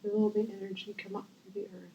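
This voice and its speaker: A woman guiding a visualization as host, gentle and unhurried with long pauses, her voice breathy and often near a whisper. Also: mid-range voice, flat delivery, lo-fi sound, a little hiss or hum. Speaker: guided meditation host. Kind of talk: guided visualization, meditation.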